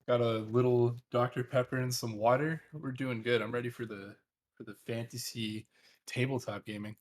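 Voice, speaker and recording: A man's voice: mid-range voice, fairly even intonation, moderate pace. A man player in casual chat, tired after a long workday but easygoing.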